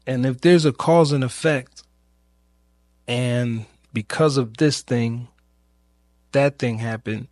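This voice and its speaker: Male podcast host, medium pitch, slow and searching delivery, informal reflective monologue, very dry close sound. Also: darkish tone, no echo. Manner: halting, searching, with long pauses and repeated phrases; informal, rhetorical questioning. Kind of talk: reflective personal monologue about grief.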